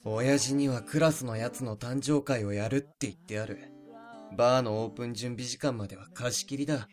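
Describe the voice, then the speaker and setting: A man playing a surly, sarcastic high school boy in a voice drama, curt, grumbling and rude. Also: a little fast, soft background music, natural voice. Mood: irritated. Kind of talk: Japanese voice drama acting.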